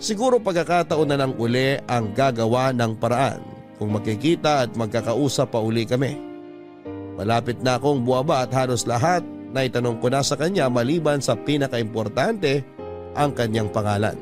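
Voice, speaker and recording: A man's voice: medium pitch, normal speed. A man narrating a listener's love story in classic radio drama style, in an intimate, confiding way. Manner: intimate, confiding, reads a listener's letter aloud. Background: faint music.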